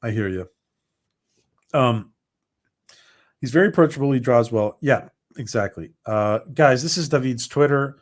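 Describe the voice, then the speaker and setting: A man hosting a casual livestream, rambling with many ums and restarts as his voice starts to go hoarse. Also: medium pitch, a little slow, pauses often. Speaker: livestream host. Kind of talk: casual livestream chat reading viewer comments.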